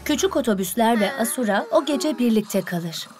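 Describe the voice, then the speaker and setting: Woman narrator, fairly high voice, scripted children's storytelling, music behind. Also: animated, moderate pace.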